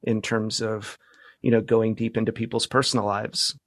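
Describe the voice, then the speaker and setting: A middle-aged man speaking as a guest in a casual interview, reflective and understated, with halting phrasing. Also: on the low side, flat delivery, fairly bright tone, no room sound, clean studio sound. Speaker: podcast guest, media professional.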